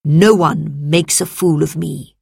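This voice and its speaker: Lady doing angry pompous roman senator guy from a kids storybook, loud n threatening, slow deliberate words. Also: fairly low pitch, animated, no echo, clean studio sound. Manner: shouting, threatening, imperious. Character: furious, pompous, powerful male Roman senator. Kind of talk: children's storybook character voice.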